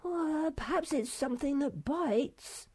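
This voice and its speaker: A woman voicing a small, nervy young male creature, greedy and excitable, in a squeaky, eager way for a storybook. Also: slow pace. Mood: anxious.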